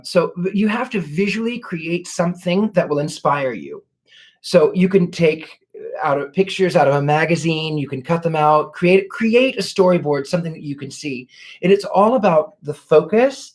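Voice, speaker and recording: A man hosting a casual livestream, answering viewer questions in a courtly, gracious way, thanking people warmly and pausing to restart his sentences as he searches for words. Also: on the high side. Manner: reflective, many restarts while searching for words. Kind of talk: casual live Q&A chat.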